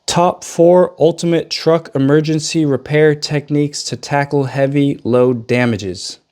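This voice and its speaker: Young male car vlogger, slightly sick and hoarse, loose joking delivery, handheld camera. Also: a little high, fairly even intonation, unhurried, a little mellow. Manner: loose and joking, addresses viewers as guys. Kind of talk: casual vlog chat. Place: home bedroom, then cold outdoor garage.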